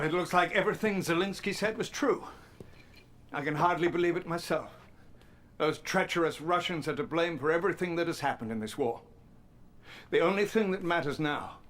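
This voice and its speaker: Stern, higher-voiced Allied war leader. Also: male voice, a bit bright. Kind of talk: video game cutscene acting.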